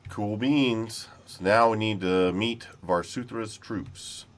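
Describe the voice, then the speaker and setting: A man's voice: fairly low pitch, slow pace. A man hosting casual gameplay commentary in an easygoing, rambling way, sounding a little nasal from being sick. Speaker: gaming channel host.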